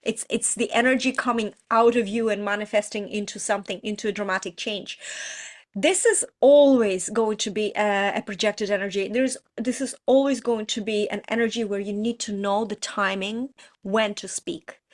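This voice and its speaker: A woman's voice: medium pitch, steady tone, normal speed. A middle-aged woman, a coach giving an earnest, informal astrology teaching talk with frequent restarts. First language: Russian. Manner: earnest, explains with many restarts and "you know"s. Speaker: prosperity coach.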